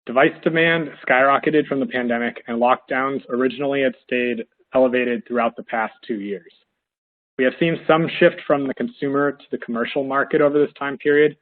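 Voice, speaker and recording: Male analyst, slightly hoarse from a cold, measured webinar delivery with fillers, mid pitch, thin phone-quality audio. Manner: steady, measured, with frequent fillers. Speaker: industry analyst presenting a webinar. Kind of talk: presenting a business webinar.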